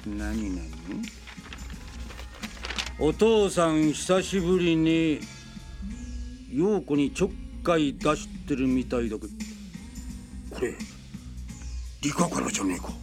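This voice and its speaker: An actor playing a rough, sneering lowlife in a radio drama, with coarse, slangy, brash speech. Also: male voice, lively intonation, takes it slowly, long pauses, over music. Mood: brash, suspicious. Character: rough, sneering male lowlife who pesters women.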